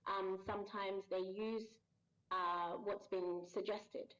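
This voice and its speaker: A woman speaking at a middle pitch, measured and reflective, taking her time over each answer. Her voice comes through a dull, lo-fi recording. She talks casually as a guest voice actor. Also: flat delivery, fairly bright tone. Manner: measured, thoughtful, explains at length with pauses. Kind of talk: casual interview chat. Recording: dull lo-fi sound.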